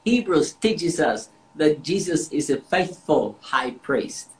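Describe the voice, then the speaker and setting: A man's voice: fairly high pitch, very animated, takes it slowly, slight background noise, low-quality recording. Emphatic man preaching. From Austria. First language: German. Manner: emphatic, repeats words for stress. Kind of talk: devotional sermon with interpreter.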